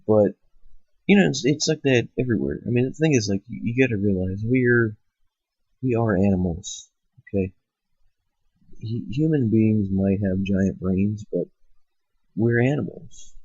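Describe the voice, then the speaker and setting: A husky man with a lowish voice, stuffed up from a cold, rants bluntly and swears a lot, wandering through his points with plenty of fillers. It is a dull, lo-fi home recording, close and dry with no room sound. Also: unhurried, pauses often, darkish tone, no room sound. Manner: profane, blunt, rambling with fillers. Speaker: host. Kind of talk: ranting monologue. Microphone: cheap microphone. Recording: lo-fi home setup.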